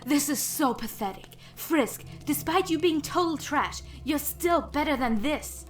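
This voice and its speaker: Woman doing a bossy hateful villain girl, high pitched, sneering, audio drama w/ some music. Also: expressive, measured pace. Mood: scornful. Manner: contemptuous, snapping, commanding. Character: scornful, domineering female villain, hateful of monsters.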